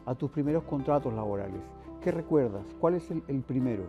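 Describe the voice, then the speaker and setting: A man's voice: mid-range voice, lively intonation, a little fast, lo-fi sound. Chilean man hosting over guitar music. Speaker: television interview host. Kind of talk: conversational interviewing.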